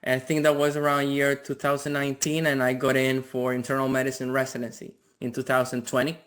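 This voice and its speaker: Male guest, thirties, from the Dominican Republic, medium pitch, casual talk full of repeated words. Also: completely flat delivery, fast talker, fairly bright tone. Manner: repeats words, frequent "like" and "you know". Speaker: interview guest, physician. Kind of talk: casual conversational interview.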